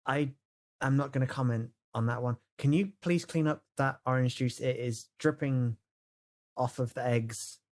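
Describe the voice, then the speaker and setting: A man's voice: mid-range voice, fairly even intonation, normal speed. A British man plays along in an improvised roleplay game. He talks casually, with an emphatic, exasperated way of repeating himself. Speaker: player in a tabletop roleplay podcast.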